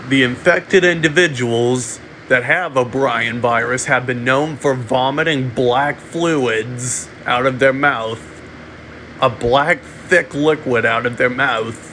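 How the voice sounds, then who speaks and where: A man delivering a mock emergency alert in a halting way, often repeating and restarting his phrases. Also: mid-range voice, bright, forceful tone, a little hiss or hum, low-quality recording. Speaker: amateur narrator of a fictional emergency broadcast. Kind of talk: mock emergency alert announcement, semi-improvised.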